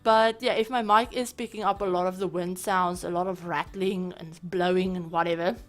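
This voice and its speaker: A woman hosting a gaming channel, talking casually over her gameplay with a hoarse, raspy voice, chatty and apologetic, full of fillers. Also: fairly low pitch, steady tone, moderate pace. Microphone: home voice-over mic.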